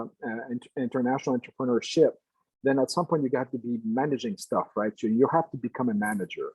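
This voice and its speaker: Older man from Quebec, mellow husky voice. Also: medium pitch, no room sound, low-quality recording. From Quebec, Canada. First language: French. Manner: halting, with many restarts and fillers. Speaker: guest lecturer. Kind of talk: lecture to a class.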